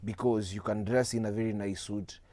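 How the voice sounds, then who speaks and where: A man's voice: lowish voice, steady tone, mellow, dry recording. A nineteen-year-old man with a Kenyan accent, a guest speaking earnestly and steadily in a studio interview. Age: teens. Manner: earnest, measured, mixing in Swahili slang.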